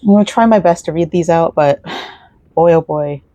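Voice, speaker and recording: A woman hosting a relaxed let's-play while knitting, chatting in a rambling way and laughing often. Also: lowish voice, normal speed, dry recording, dull audio. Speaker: gaming and crafting channel host. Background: faint game music.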